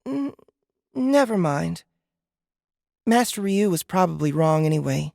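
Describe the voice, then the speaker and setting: A woman's voice: on the low side, big pitch swings, unhurried, vocal fry, darkish tone, no echo, exaggerated voice. Earnest eight-year-old girl, dry sound. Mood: withdrawn.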